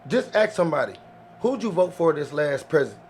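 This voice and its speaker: A man's voice: fairly high pitch, big pitch swings, brisk. A man chatting casually on a podcast, blunt and impassioned, using slang and repeating himself as he argues. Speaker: podcast panelist. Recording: faint background noise.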